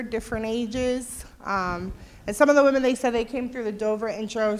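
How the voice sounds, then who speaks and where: A woman on the staff of a recovery program, giving a relaxed, informal talk to a visiting group with many filler ums. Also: mid-range voice.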